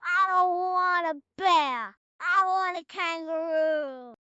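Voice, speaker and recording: A stubborn little toddler girl in a dramatized story. The voice is extremely high and sharp, with slow, drawn-out words. The sound is close and dry. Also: dry recording, dull audio, full character voice. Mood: insistent. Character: stubborn little two-year-old girl wanting a kangaroo. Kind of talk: dramatized lecture character.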